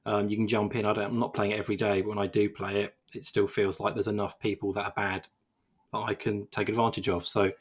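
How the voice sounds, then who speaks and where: A man's voice: fairly low pitch, flat delivery, quick pace, breathy edge. A man co-hosting a casual games podcast, rambling and self-deprecating with lots of fillers. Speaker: games journalist co-host.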